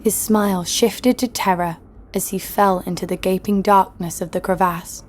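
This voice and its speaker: A woman's voice: medium pitch, steady tone, moderate pace, a little hiss or hum, lightly acted. An actress playing a tough, wry female adventurer who narrates her own story in a scripted audio drama. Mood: wry storytelling. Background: music.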